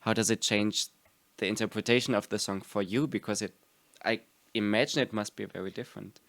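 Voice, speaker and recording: A man interviewing a guest, measured and attentive, asking his questions calmly and offering soft affirmations. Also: on the low side, vocal fry, no room sound. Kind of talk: interview conversation.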